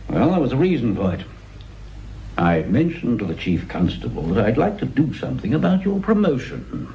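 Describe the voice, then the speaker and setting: An actor playing a manipulative, patronising British police superintendent with an insinuating manner, in a radio drama. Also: male voice, mid-range voice, huge pitch swings, a little fast. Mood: sly, insinuating. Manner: hesitant, insinuating, condescending. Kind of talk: naturalistic radio drama acting. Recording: muffled radio recording with noise.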